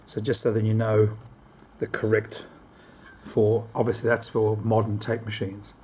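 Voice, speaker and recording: A man's voice: lowish voice, completely flat delivery, a little slow, darkish tone, slight background noise. A man giving a casual hands-on product demonstration, explaining step by step and often rephrasing his instructions.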